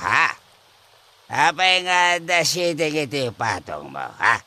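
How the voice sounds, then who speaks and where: A man's voice: on the high side, big pitch swings, slow, sharp tone, full character voice. A man playing a drunken, confused old husband in a comedy drama, stubborn and amorous, slurring and wailing theatrically. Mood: indignant, dismissive. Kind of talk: comedy audio drama acting.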